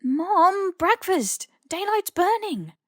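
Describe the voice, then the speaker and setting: A woman voicing a blunt, excitable, grumbling young British man in an audiobook story. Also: very high-pitched, takes it slowly, dry recording, studio quality, pitched above the performer's own voice, heavily acted. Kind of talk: audiobook character dialogue.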